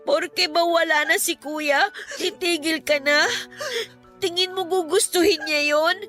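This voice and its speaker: A gentle young Filipina sister in a radio drama, earnest and sorrowful as she consoles. Her voice is high and very bright, over faint music. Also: steady tone, measured pace, full character voice. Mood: tearful, consoling.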